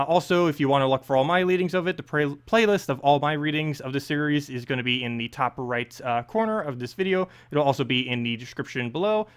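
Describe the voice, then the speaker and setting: A man's voice: mid-range voice, a bit bright, smooth. A man hosting a channel and reading a story aloud in an amateur, casual way, stumbling now and then. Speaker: channel host and story reader.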